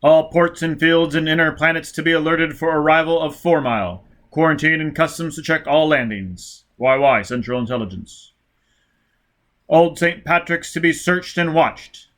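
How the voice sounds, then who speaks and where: A man's voice: on the high side, moderate pace, a bit bright, voice pushed up, natural voice. A man voicing an urgent official who issues security orders in an audiobook, in clipped, commanding bulletin phrases.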